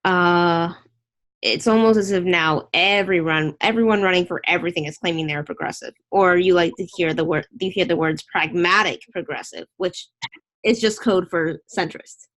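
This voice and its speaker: A woman's voice: lowish voice, normal speed, fairly bright tone. A woman hosting a casual online interview, chatty and opinionated, rambling with restarts.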